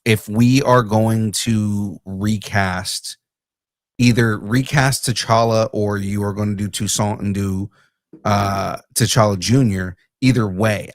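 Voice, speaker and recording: Low-voiced man, halting podcast talk. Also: no room sound, studio quality. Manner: hesitant, many fillers and restarts, earnest. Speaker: podcast co-host. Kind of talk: casual chat.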